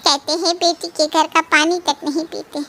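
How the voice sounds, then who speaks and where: A woman voicing a humble, soft-spoken older widowed mother in an animated story. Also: extremely high pitch, moderate pace. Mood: resigned. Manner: soft, yielding and apologetic.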